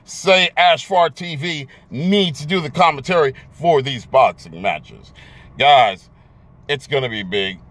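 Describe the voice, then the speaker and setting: A man's voice: on the high side, a little slow, fairly bright tone. An American man in his thirties talking casually to camera as a vlogger in a car, emphatic and often repeating words as he searches for the next one. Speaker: YouTube vlogger. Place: inside a car in daylight. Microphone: phone or camera at arm's length.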